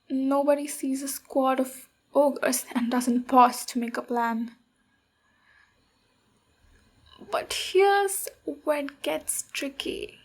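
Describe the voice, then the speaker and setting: A woman voicing a tough but protective ogre warrior in a soft-spoken, reassuring ASMR roleplay, with pauses. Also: high voice. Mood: matter-of-fact. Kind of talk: ASMR roleplay, speaking to the listener.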